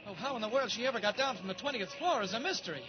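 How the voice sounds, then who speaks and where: A man's voice: high-pitched, lively intonation, very fast, highs cut off. An actor playing a curious male newspaper reporter, earnest and light-voiced, in a 1940s radio drama. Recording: scratchy 1940s radio transcription.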